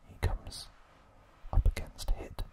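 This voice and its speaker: Slow whispering ASMR man. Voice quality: breathy unvoiced whisper. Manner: slow, deliberate, broken into short phrases. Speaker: ASMR host. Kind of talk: whispered ASMR trivia recap.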